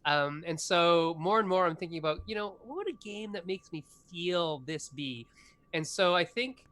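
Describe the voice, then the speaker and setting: A man's voice: high voice, lively intonation, normal speed, bright, forceful tone. A man in his forties, a game designer talking enthusiastically as an interview guest, rambling with lots of fillers. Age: 40s. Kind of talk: casual interview chat.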